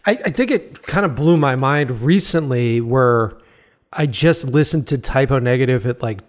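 A man's voice: medium pitch, normal speed. A man hosting a podcast interview, enthusiastic and casual, laughing often and swearing freely. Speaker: podcast host.

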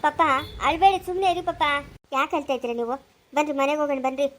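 A woman's voice: sits high, quick pace, a little hiss or hum, lightly acted. A woman voicing a caring young daughter in a serial drama, soothing and coaxing with many questions. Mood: soothing, amused pity. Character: caring, brisk young daughter consoling her father.

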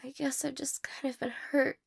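Woman playing insecure young woman, romantic audio roleplay, high pitch, creaky fry, hesitant, stammering, apologetic, tearful, slipping into whispers. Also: little pitch movement, normal speed.

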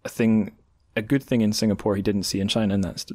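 Low-pitched man hosting a podcast. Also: steady tone, quick pace, dark tone, no room sound. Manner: informal, digressive, self-deprecating. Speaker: podcast host interviewing a guest. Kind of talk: casual, rambling podcast talk.

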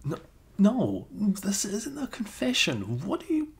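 A man playing a flustered, caring young male best friend with a crush, speaking haltingly in an improvised ASMR roleplay. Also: high-pitched, wildly swooping pitch, gravelly, heavily acted. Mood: flustered, embarrassed. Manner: halting, bashful, chuckling, casual bro talk.

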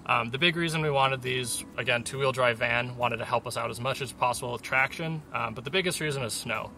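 A man's voice: mid-range voice, brisk, bright, forceful tone, a little hiss or hum. A young man with a North American accent giving a casual tour to camera as the owner of a van. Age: young adult. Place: in and around a camper van in the woods.

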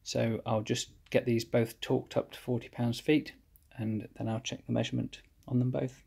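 A man calmly explaining his engine rebuild step by step while he works at a cluttered workshop bench. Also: mid-range voice, vocal fry, slightly rough. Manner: methodical, plain explanatory, pauses mid-phrase. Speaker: home mechanic rebuilding an engine. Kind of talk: hands-on workshop walkthrough.

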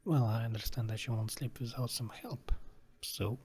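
Male actor for tender Russian-accented boyfriend, ASMR sleep roleplay. Medium pitch, very breathy and husky, often whispering, soothing. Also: normal speed. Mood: soothing, affectionate. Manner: soft, soothing, reassuring.

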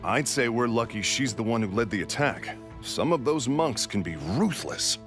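An actor playing a rugged, wry middle-aged adventurer uncle in a breezy manner for a video game. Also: male voice, mid-range voice, expressive, normal speed, natural voice. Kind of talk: video game cutscene acting. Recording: music under the voice.

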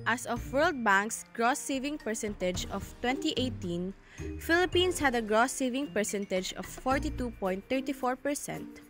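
A woman's voice: on the high side, a bit bright. A woman reading a scripted student voice-over about savings and language for a class report. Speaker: student presenter. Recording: music playing underneath.

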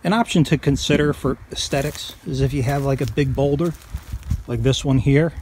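A man narrates casually as he shows a how-to job on a farm. His voice sits at a medium pitch, and his delivery is folksy and plain-spoken. He is recorded outdoors on a handheld camera, with light noise in the background. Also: normal speed, darkish tone. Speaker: farm vlogger.

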